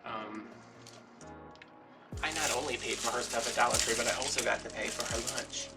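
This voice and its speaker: American guy late 30s chatting while crafting, rambly n kinda dramatic, loud music behind him, mic bit far. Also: mid-range voice, fairly even intonation, normal speed, vocal fry. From New Orleans area, USA. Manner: rambling, playfully dramatic storytelling. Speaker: craft vlogger. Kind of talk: casual chatty crafting commentary. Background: background music.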